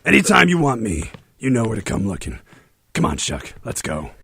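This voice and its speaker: An actor playing a gruff, insolent tough-guy mutant agent with a gravelly, sardonic voice in a superhero audio drama. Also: lowish voice, wildly swooping pitch, normal speed, background noise, full character voice. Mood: defiant. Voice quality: gravelly, raspy. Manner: sardonic, growling tough talk. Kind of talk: fan-made superhero audio drama acting.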